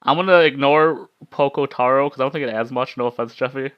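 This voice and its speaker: A man's voice: mid-range voice, fast talker, clear, resonant tone, dry recording, muffled recording. A man hosting a casual club discussion and reading out comments in a jokey, sweary way. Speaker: host leading an anime club discussion.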